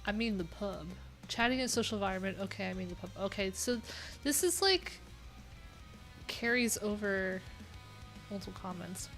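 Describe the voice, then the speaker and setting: A woman's voice: mid-range voice, animated, moderate pace, pauses often, a bit bright. Chatty woman streamer over faint music. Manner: chatty, loose asides with frequent fillers. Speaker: livestream host. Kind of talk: casual livestream chat, reading viewer answers aloud. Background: pop punk music playing.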